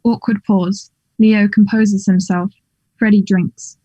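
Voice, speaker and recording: A woman's voice: medium pitch, little pitch movement, slow, dark tone, breathy edge, low-quality recording. A woman reading stage directions as scripted narration at a table read of a play.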